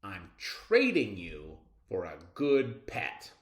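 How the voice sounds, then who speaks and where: A man voicing a young boy with a goldfish in a children's picture book, animated and exclaiming. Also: deep voice, takes it slowly, voice pushed down, full character voice. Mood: grudging, firm. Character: young boy, goldfish owner, grudging then excitable. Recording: roomy, echoing sound.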